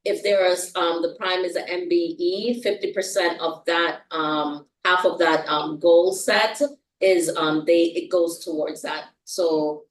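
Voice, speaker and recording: A woman's voice: fairly low pitch, fairly even intonation, unhurried. A woman with a Jamaican accent presenting contracts at a board meeting, hesitant and full of fillers as she reads out figures. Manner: hesitant, many fillers and restarts while reading out figures. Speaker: staff member presenting contracts. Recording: roomy, far from the mic.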